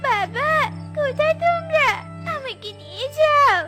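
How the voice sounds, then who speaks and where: A woman voicing a small lost girl animal cub in a cartoon, high and childlike, tearful and pleading. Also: expressive, unhurried, music in the background. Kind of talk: cartoon voice acting.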